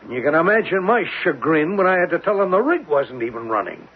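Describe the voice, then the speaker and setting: An American actor in a 1970s radio drama, playing a blustering, sarcastic, exasperated oil drilling boss with a gravelly, rough-edged voice. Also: male voice, a little high, very animated, fast, full character voice. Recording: tinny narrowband 1970s radio recording.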